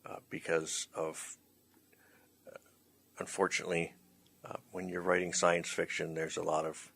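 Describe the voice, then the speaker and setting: A man with a very low voice hosts casually. His delivery is halting and hesitant, with a bright, forward tone. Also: level tone, creaky, breathy edge. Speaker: host, writer-director of the play. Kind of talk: casual chat introducing bloopers.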